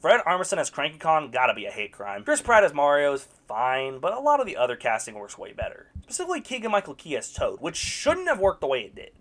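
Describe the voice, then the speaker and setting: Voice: medium pitch, huge pitch swings, fast, few pauses, a bit bright. A young adult video reviewer delivering scripted movie commentary with sarcastic, blunt verdicts.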